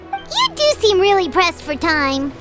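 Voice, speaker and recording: A woman voicing a tiny, chirpy, childlike fairy companion with a squeaky voice in a video game. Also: wildly swooping pitch, unhurried, exaggerated voice. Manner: chirpy, refers to herself in third person. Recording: loud music behind the voice.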